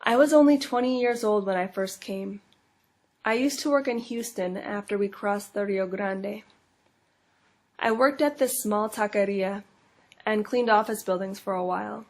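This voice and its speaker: A frightened immigrant mother in her mid-thirties, read by a woman recounts her story quietly and earnestly. Her voice sits at a plain middle pitch, steady and unforced, as in a scripted teaching case. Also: moderate pace, natural voice. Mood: earnest, fearful. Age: 30s. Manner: quiet, earnest, fearful first-person telling. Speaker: case-study reader. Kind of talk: scripted first-person case narration.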